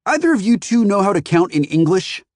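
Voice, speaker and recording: A man voicing a rude, foul-mouthed adult man in cowboy boots who shouts abuse in a sneering manner. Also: sits high, very animated, fairly quick, no echo, clean studio sound, pitched above the performer's own voice. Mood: scornful.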